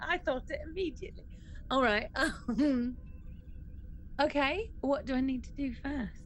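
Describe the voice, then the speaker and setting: A woman's voice: high-pitched, a little slow, a little hiss or hum, poor audio. A woman chatting casually and informally as a player in a roleplaying game. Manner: informal, reactive. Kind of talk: casual chat.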